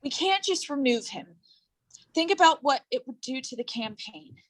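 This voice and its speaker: Young woman, somewhat high-pitched. An actress playing a guarded, stubborn performer; blunt, defensive, stage drama delivery. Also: animated, moderate pace, poor audio. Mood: resigned, firm. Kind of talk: staged reading of a drama play.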